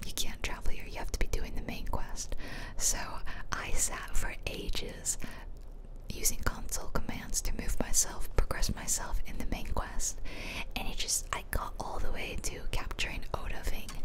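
A woman whispering softly and close to the mic as she gives chatty ASMR commentary over a video game, half muttering to herself. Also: low-quality recording. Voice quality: soft unvoiced whisper. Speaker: gameplay video host. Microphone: close stereo mic, ear-to-ear whispering.

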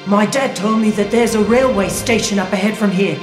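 A man's voice: high voice, fairly quick, lightly acted. A man playing an earnest, timid, guilt-ridden young boy in a stilted video game performance. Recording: long hall echo, music behind.

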